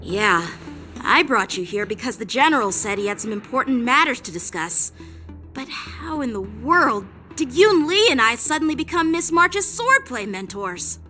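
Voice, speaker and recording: A woman's voice: on the high side, very animated, moderate pace, pushed and bright. A woman playing an earnest, determined young sword master in an anime game, with music behind her. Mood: puzzled.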